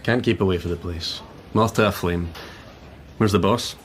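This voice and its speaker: An actor playing a dogged, rueful police sergeant in a radio drama, brisk and understated. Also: male voice, low voice, a little hiss or hum, close to an everyday voice. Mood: rueful, casual.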